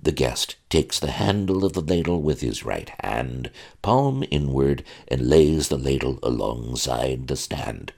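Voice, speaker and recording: A fussy, pedantic butler droning through rules of etiquette, as a man reads him in an audiobook. The voice stays extremely deep, slow and gravelly, plain and unhurried. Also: lively intonation, darkish tone, heavily acted. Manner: dry, pedantic recitation.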